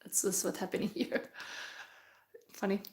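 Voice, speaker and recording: A woman from Finland with a Finnish accent, giving a rambling, musing tarot reading in English that often drops to a soft whisper. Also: normal speed, a little hiss or hum. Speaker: tarot reader. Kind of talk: live pick-a-pile tarot reading chat.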